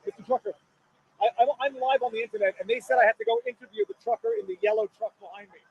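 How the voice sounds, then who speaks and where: A man's voice: extremely high pitch, wildly swooping pitch, racing, creaky, lo-fi sound, slight background noise. A man, talking as a live street interviewer, chatty and digressive, repeating the starts of his questions. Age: 40s. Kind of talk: spontaneous on-street interview and commentary.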